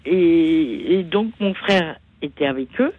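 A woman in her sixties phoning in to a radio show, talking haltingly with many fillers and pauses. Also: low voice, animated, phone-quality sound. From born in Canada, raised partly in Africa. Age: 60s. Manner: hesitant, halting, many fillers, slow and sorrowful. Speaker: caller. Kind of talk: phone call to a radio show. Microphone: telephone.

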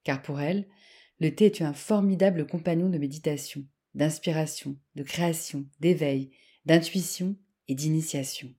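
Low-voiced woman narrating a podcast. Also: moderate pace, dark tone, husky. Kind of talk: scripted narration.

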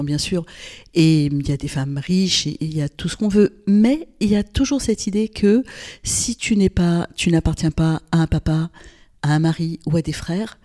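A woman's voice: sits low, warm tone. A woman in her sixties from Lebanon, a podcast guest recalling her past in a thoughtful way, often hesitating and repeating words. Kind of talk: podcast interview, personal recollection.

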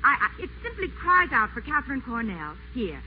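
An actress playing a brisk, loyal secretary in a 1940s radio play. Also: female voice, high voice, big pitch swings. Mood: eager. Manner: brisk, firm, teasing. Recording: dull old radio broadcast, faint hiss.

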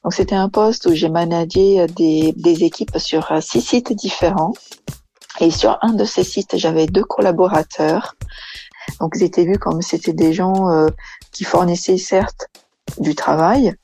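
Lady engineer talking in an interview, lots of ums, warm low tone, bit of fry. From Brazil. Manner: hesitant, many fillers and restarts. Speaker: engineering manager. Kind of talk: interview answers.

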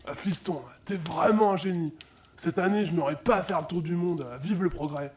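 An actor playing a grumpy, bumbling, old-fashioned Santa Claus who talks colloquially and hesitantly in a comedic audio drama. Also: male voice, sits high, moderate pace, darkish tone, natural voice. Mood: won over. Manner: colloquial, hesitant grumbling, easily swayed. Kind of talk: comedic audio fiction acting.

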